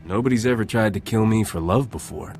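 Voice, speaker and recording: A man's voice: fairly low pitch, a little fast, darkish tone, soft background music, lightly acted. A man playing a flippant, flirtatious smuggler captain in a video game, cool and unflappable. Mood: wry. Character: cocky, charming male smuggler starship captain, unflappable. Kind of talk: video game cutscene acting.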